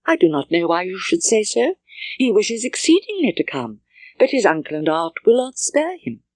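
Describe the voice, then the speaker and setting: A woman voicing a spirited, stubborn young Regency gentlewoman in an audiobook, with genteel, emphatic British Received Pronunciation. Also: medium pitch, very animated, moderate pace, no room sound, voice pushed up. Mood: indignant. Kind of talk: audiobook character dialogue.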